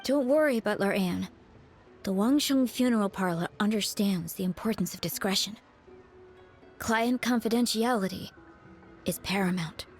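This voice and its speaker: An actress playing a composed, courteous undertaker in a video game, with a formal, measured, discreet manner. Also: female voice, mid-range voice, soft background music, close to an everyday voice. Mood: reassuring. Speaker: funeral parlor staff character.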